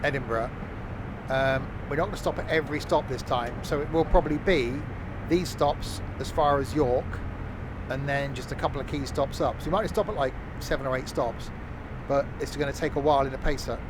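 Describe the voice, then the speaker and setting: A man live-streaming a train simulator, chatting loosely with his viewers and filling pauses with lots of ums and uhs. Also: medium pitch, noisy background. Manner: relaxed, rambling, many fillers, chats with viewers. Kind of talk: casual live-stream chat.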